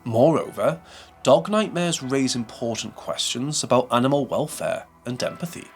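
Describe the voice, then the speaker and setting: A man reading a scripted video essay as narrator, in a measured, formal way. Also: medium pitch, soft background music.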